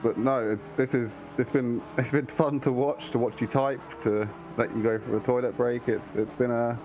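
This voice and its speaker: A man commentating a long race, hoarse from hours of talking, friendly and teasing with halting speech. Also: medium pitch, steady tone, background noise. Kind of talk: casual commentary chat.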